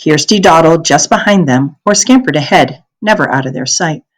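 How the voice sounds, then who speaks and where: Expressive low-voiced woman reading a story. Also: normal speed. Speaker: read-aloud narrator. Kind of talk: read-aloud storytelling of a children's novel.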